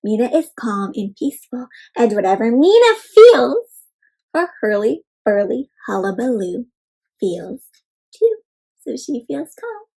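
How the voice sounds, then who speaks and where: A woman hosting an interactive picture-book read-aloud for young children, expressive and speaking directly to her listeners with questions. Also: a little high, measured pace, vocal fry, slightly rough, low-quality recording.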